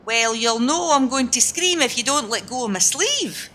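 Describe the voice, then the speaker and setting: A woman playing a feisty, sceptical but kind-hearted working woman in an amateur radio play, brisk and incredulous in manner. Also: a little high, lively intonation, pushed and bright, slight background noise. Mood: irritated, wary.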